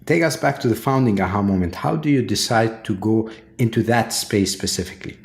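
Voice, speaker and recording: A man hosts an interview podcast, introducing his guest and then drawing out the story with questions. His voice sits on the low side. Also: brisk, gravelly. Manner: reads guest introduction, asks prompting questions. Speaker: podcast host. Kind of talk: interview host.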